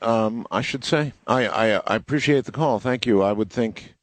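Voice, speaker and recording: A man hosting a late-night call-in radio show, musing slowly on callers' stories. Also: medium pitch, no echo. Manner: measured, musing, takes calls. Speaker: radio talk show host.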